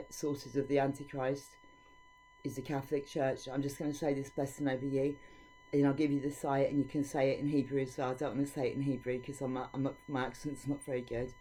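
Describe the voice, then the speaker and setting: A woman's voice: rumbling low, dark tone, slight background noise, poor audio. A woman giving an informal devotional teaching, reading scripture aloud and speaking in an earnest, preachy way. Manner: earnest and preachy, with frank opinions.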